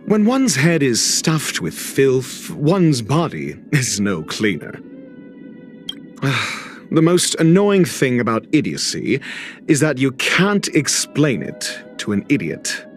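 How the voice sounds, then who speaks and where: A man voicing a haughty, condescending intellectual scholar in a video game, speaking in measured, theatrically articulate lines. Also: mid-range voice. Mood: disdainful. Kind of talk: scripted video game character monologue. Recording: voice over background music.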